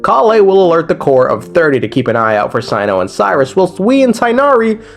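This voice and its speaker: A man with a slightly high, very animated voice recaps a video game storyline over light music. He reads casually from a script and drops in slangy, irreverent asides. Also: a little fast, creaky.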